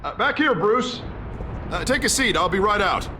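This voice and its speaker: A strained young mayor. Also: male voice, sits high, expressive, moderate pace, sharp tone, a little hiss or hum, exaggerated voice. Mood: friendly. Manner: shifts from friendly to agitated muttering. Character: troubled young male mayor, unraveling. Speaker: game character. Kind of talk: video game voice acting.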